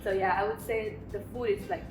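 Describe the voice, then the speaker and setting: A woman's voice: mid-range voice, fairly even intonation, fairly quick. Easygoing young woman from Bangladesh. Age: young adult. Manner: loose and hedging, says "I would say" and "like" often. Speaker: university student guest. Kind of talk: casual chat. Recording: roomy sound from a distance with music.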